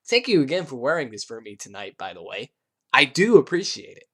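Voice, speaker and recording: A man's voice: mid-range voice, big pitch swings, brisk, fairly bright tone. A man voicing a refined, chatty teenage girl with a theatrical, genteel manner in a story reading. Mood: fussy, grateful.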